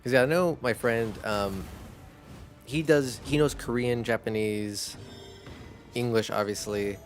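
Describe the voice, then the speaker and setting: A man whose first language is Spanish, chatting casually as a streamer while gaming, in a rambling, relaxed way. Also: mid-range voice, unhurried, faint music behind. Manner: rambling, relaxed, laughs easily.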